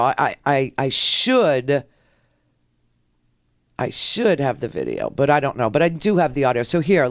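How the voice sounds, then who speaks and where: A woman's voice: sits low, no echo, studio quality. A woman hosting a political talk radio show, sarcastic and combative, heckling the clips she plays.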